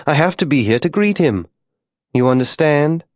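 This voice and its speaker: The proper, wistful wife chatting politely in a hotel doorway in a western audiobook, stubbornly proud, pitched up a bit, as read by a man. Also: lively intonation, moderate pace, dry recording, studio quality, close to an everyday voice. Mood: haughty, insistent. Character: proud, genteel, stubbornly waiting frontier wife. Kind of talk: audiobook character dialogue.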